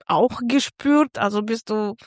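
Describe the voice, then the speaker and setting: A woman's voice: fairly high pitch, a little slow, dry recording, clean studio sound. A woman hosting a podcast interview, asking questions in a friendly, encouraging way with some hesitations. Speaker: podcast host.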